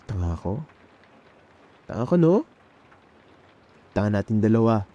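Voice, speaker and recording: Young Filipino male for intimate ASMR roleplay: emotional best friend, halting casual delivery, deep-leaning and very soft, dark timbre. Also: expressive, dull audio. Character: emotional young man confessing love to his best friend. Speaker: ASMR audio roleplay performer.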